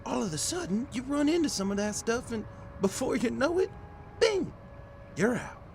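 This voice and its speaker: High-voiced, folksy small-town constable. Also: male voice, very animated, moderate pace, vocal fry, a little mellow, full character voice. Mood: folksy, cautioning. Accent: rural American. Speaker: actor in a radio mystery. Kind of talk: old-time radio acting. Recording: noisy old radio drama recording.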